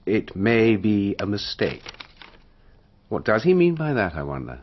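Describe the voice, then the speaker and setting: An actor playing a sly, nosy, idle young gentleman in a radio drama, arch and insinuating. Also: fairly low pitch, huge pitch swings, measured pace, muffled recording, slight background noise. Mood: intrigued.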